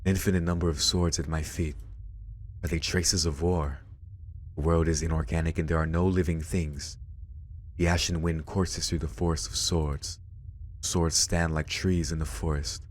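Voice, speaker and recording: A man hosting a let's play, reading visual novel narration aloud in a measured storytelling way. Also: very deep voice, little pitch movement. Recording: game music behind the voice. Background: game music.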